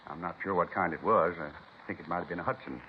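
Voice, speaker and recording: An actor playing an earnest, simple, good-natured butcher in a 1950s radio police drama, plain-spoken with folksy, ungrammatical speech. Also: male voice, low-pitched, fast talker, close to an everyday voice. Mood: helpful. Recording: muffled, hissy 1950s radio recording.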